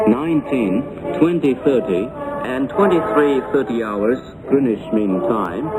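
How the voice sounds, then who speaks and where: Shortwave station announcer: man, medium pitch, formal scripted read, very prominent music behind. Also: low-quality recording. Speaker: radio announcer. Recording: 1980s shortwave radio recording, loud music.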